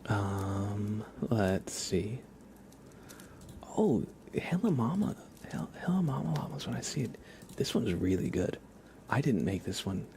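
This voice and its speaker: A very low, crackly male voice speaks softly, half whispering at times, in a casual ASMR stream. He rambles along informally with small halting pauses. There is light noise behind him, with keyboard typing. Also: very animated. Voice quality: soft, hushed, partly whispered. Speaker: livestream host.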